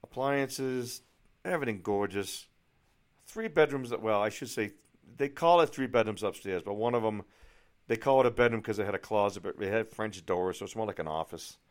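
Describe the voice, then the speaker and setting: A man's voice: on the low side, normal speed. A middle-aged American man vlogging in a casual, anecdotal way, rambling and exasperated, with some mild swearing. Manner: rambling, exasperated, mildly profane.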